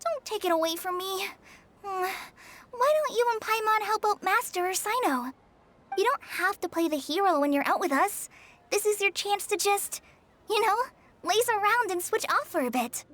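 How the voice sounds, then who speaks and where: A woman voicing a sweet, considerate young apprentice in a video game, speaking earnestly and gently. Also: very high-pitched, animated, normal speed. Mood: earnest, caring.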